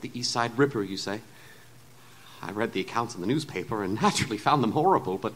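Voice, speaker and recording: An actor playing a refined, anguished gentleman scientist in a Gothic audio drama, speaking in formal, wordy Victorian diction with hesitant stammers. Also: on the low side, lively intonation, fast, low-quality recording. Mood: troubled, rueful.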